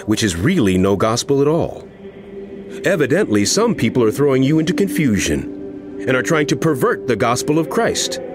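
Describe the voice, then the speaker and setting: An actor playing an impassioned apostle in a dramatized audio Bible, speaking in a solemn, emphatic, oratorical way. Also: male voice, fairly high pitch. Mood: indignant, stern. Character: impassioned apostle writing a stern, earnest letter. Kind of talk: dramatized scripture reading over music. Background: background music.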